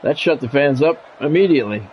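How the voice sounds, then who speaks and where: An American man doing live play-by-play of a video game football match in a measured announcer style, mixed with casual chat to stream viewers. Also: fairly high pitch, animated, slight background noise. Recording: dull lo-fi sound with music behind.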